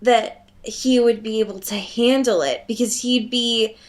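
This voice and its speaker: A woman's voice: fairly high pitch, fairly even intonation, unhurried. A woman co-hosting a podcast, chatting casually and comedically about a book with a giggly, conversational manner. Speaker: podcast co-host.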